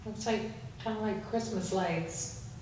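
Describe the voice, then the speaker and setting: A woman's voice: mid-range voice, measured pace. A woman with a mid-range voice takes part in a paranormal investigation. She speaks to spirits in simple questions and instructions. She sounds far from the microphone, with strong room echo and a little background noise. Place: indoors in an old house. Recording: very echoey room, distant mic, faint noise.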